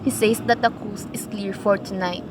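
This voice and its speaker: A woman's voice: mid-range voice, steady tone, moderate pace, natural voice. Woman acting a cold, businesslike crime boss, noisy recording. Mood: cold, methodical. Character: cold, businesslike murder plotter giving orders. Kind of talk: student radio play acting.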